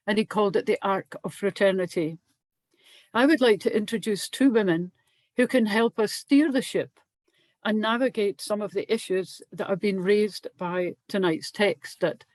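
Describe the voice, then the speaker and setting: An older woman facilitating an online dialogue, calmly reading out prepared introductions of the speakers. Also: medium pitch, no room sound. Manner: calm, even-paced reading of prepared introductions. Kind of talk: formal introductions.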